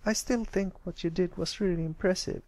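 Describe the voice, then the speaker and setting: Man putting on a high-voiced, frank young filly. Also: steady tone, moderate pace, darkish tone. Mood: admiring.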